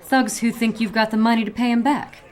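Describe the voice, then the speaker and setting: An actress playing a cool, shrewd detective's partner in a noir radio drama, needling and quietly threatening. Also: female voice, on the high side, fairly even intonation, a little fast, some chatter behind, close to an everyday voice. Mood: slyly threatening.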